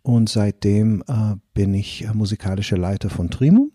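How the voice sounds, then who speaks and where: A man from Israel, a guitarist and composer, speaking German as a guest, with many pauses and fillers as he searches for words. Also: lowish voice, dark tone, slightly rough, no room sound, clean studio sound. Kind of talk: casual interview talk. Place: sitting across from the host.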